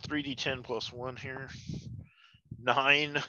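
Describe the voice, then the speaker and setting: A man's voice: mid-range voice, little pitch movement, slow, pushed and bright, no room sound. A man playing a tabletop game, chatting casually about his moves and rolls on a slightly muffled recording.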